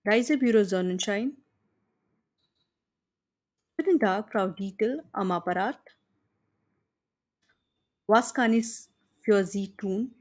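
A woman's voice: medium pitch, slow pace, pauses often, darkish tone. A woman with an Indian accent voicing a friendly, businesslike female travel agency manager speaking formally on the phone in a lesson dialogue. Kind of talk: scripted dialogue read for learners.

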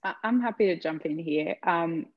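A low-pitched woman in her thirties speaks earnestly on a video-call panel, encouraging the listener. The sound is dry and close, a little dull like laptop audio. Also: normal speed, dry recording. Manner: earnest, encouraging advice-giving. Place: home room with plain walls.

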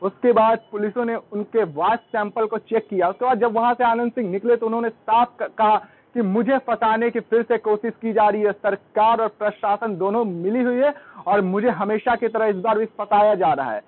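A man's voice: high-pitched, normal speed, dry recording. A man in his twenties presenting a news report to camera, with a thin tinny sound. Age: 20s. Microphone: handheld reporter microphone. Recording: thin tinny band-limited radio-like sound.

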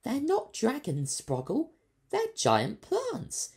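A man's voice: sits high, huge pitch swings, measured pace, creaky, dark tone, pitched above the performer's own voice, heavily acted. A man voicing a clever female doctor who corrects the crew. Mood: self-assured. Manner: smart, self-assured.

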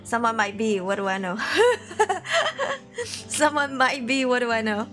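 A woman's voice: a little high, very animated, measured pace, a bit bright, soft background music. Bubbly, giggly woman streamer. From Malaysia. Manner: bubbly, giggly, chatty with viewers. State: sniffly, tired voice. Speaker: VTuber streamer. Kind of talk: casual livestream chat. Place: home room.

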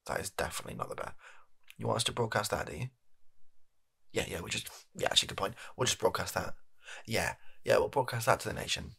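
Man playing a flustered, raspy British company boss. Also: lowish voice, sharp tone, exaggerated voice. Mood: exasperated, sarcastic. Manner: bumbling, evasive, halting, put-upon. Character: flustered, shifty male energy company CEO recording an address. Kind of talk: improvised softly spoken comedy sketch.

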